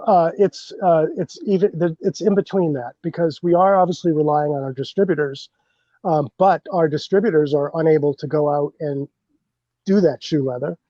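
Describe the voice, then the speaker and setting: A man's voice: a little high, fairly even intonation, normal speed, mellow, no echo, highs cut off. A man from a bourbon brand on a podcast, talking through his marketing plans in a rambling way, with lots of fillers and restarts. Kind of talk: casual podcast chat.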